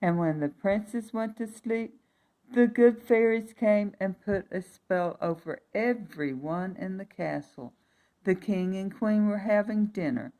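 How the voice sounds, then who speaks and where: A woman reading a fairy tale aloud for young children, expressive, with chatty asides and emphatic repetition. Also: mid-range voice, measured pace, rough recording.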